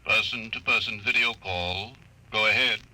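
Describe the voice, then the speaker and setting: An actor playing an emotionless computer in a 1950s radio drama, giving instructions in a flat, formal announcer's delivery. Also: male voice, on the low side, normal speed. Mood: impassive, matter-of-fact. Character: emotionless computer logic answering service questions. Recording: noisy old radio broadcast recording.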